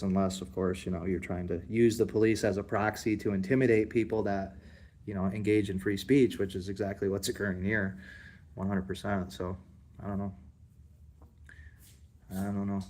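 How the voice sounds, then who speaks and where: Deep-voiced defiant man livestreaming. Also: level tone, brisk, darkish tone, a little hiss or hum. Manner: rambling, defiant, addresses viewers directly. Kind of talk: casual live commentary. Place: public building lobby.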